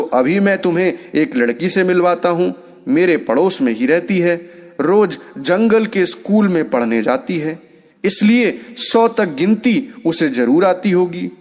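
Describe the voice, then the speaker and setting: Animal-fable jackal, male, keen and persuasive, pitched up, voiced by a male storyteller, with hall-like reverb. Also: darkish tone. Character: eager, helpful male jackal pitching his neighbour.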